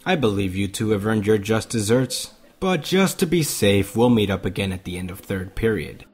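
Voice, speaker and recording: A man playing a smug, mocking teenage school bully in an audio drama, with a husky voice. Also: on the low side, big pitch swings, moderate pace. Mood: smug menace.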